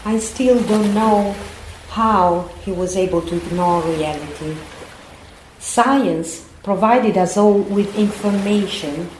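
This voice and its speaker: An actress playing a somber witness of doomsday, narrating in a grave, reflective way with roomy echo. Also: female voice, mid-range voice, slow pace, a little mellow, lightly acted. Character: somber woman witness looking back on doomsday. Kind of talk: dramatic narration. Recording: roomy echo, faint noise.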